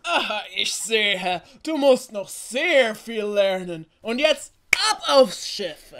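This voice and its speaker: Scheming sea captain for kids' audio drama , played by a woman: unhurried, stern orders. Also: mid-range voice, expressive, a bit bright. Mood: commanding. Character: commanding, scheming male pirate captain. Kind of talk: children's audio play character acting.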